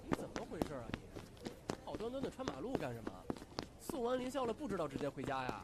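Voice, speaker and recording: Man acting high-pitched, rapid scolder. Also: very animated. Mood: worried scolding. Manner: scolding, worried. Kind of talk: Chinese audio drama acting. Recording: very noisy scene.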